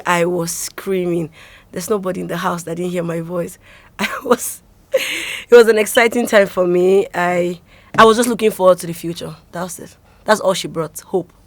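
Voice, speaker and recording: A young adult woman with a Nigerian accent, talking casually as an interview guest and telling stories in an animated way. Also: medium pitch, no room sound.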